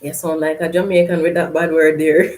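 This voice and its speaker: A woman from Canada hosts a casual live gardening stream. She reads viewer comments aloud and answers in a loose, chatty way with frequent laughter. Her voice sits a little low. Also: level tone, a little fast, darkish tone. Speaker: gardening channel host.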